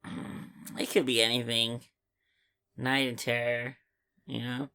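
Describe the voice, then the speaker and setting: A podcast co-host casually discussing films in a halting way, often searching for words. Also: medium pitch, little pitch movement, pushed and bright, clean tone.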